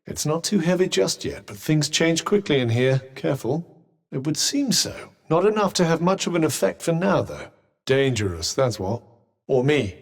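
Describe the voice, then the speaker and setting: A sardonic talking bracelet. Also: male voice, on the high side, big pitch swings, normal speed, long reverb tail. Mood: wry. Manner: dry, wry and teasing. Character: witty sardonic male talking bracelet companion. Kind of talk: video game voice lines.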